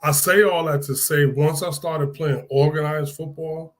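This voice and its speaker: Relaxed rambling man in his sixties. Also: on the high side, measured pace. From Hartford, Connecticut, USA. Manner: relaxed, rambling with many restarts and "uh"s. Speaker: guest, former football player. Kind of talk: casual interview storytelling.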